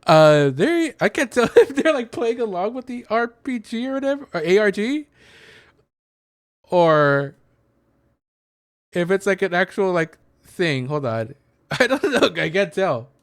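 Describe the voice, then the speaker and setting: A man's voice: sits high, big pitch swings, measured pace, pauses often, no echo, studio quality. A man streaming a game with casual, rambling commentary, thinking aloud as he searches for words and reads things out. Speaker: video game streamer and host.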